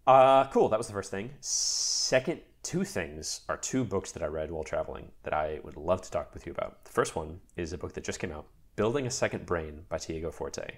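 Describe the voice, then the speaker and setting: Low gravelly man, chatty and rambling. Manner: lively, rambling, many fillers and restarts. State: recovering from a mild cold. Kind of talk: casual chat.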